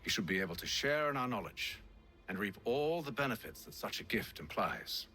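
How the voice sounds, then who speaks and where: An actor playing a commanding middle-aged leader of a secret order in a video game, speaking formally and solemnly. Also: male voice, lowish voice, very animated, moderate pace, sharp tone, faint music behind. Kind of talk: video game cutscene acting.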